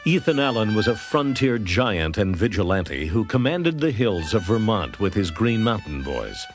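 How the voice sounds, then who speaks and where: A man's voice: fairly low pitch, very animated, moderate pace, soft background music. An American man narrating a scripted history documentary.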